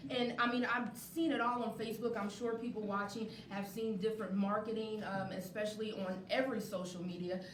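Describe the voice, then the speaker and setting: A woman's voice: mid-range voice, fairly even intonation, fairly quick, hardly any pauses. Female talk show host, live presenting, recorded off-mic with light background noise. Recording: echoey, distant mic, faint noise.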